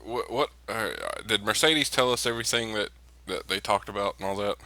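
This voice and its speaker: A man with a lowish voice and a bright, pressing edge plays a tabletop role-playing game. He talks casually in character, halting and doubling back on his words.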